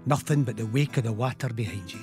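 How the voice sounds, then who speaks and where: A man's voice: medium pitch, fast. A man reciting poetry. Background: guitar music.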